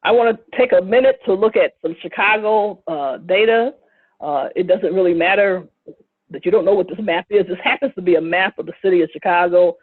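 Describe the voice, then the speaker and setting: A woman giving a recorded lecture with slides, speaking in a measured way with frequent fillers and brief asides. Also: fairly low pitch, husky, dry recording. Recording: tinny phone-like lo-fi recording.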